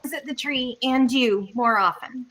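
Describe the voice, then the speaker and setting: A woman's voice: high voice, measured pace, a bit bright, poor audio. A woman playing a tabletop game online, speaking casually in character.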